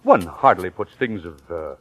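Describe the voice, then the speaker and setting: Genial swindler passing as professor, pompous and wordy, highly animated delivery, vintage radio drama. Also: male voice, normal speed. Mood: smooth, insinuating. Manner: pompous, florid, chuckling wordy speech. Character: genial, smooth-talking male confidence man posing as professor. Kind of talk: 1940s radio acting, first-person narration and dialogue.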